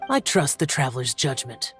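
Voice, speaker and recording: Aloof, stern young immortal warrior. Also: male voice, mid-range voice, big pitch swings, moderate pace, a bit bright, faint music behind. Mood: calm. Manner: curt, formal, solemn. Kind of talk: video game character acting.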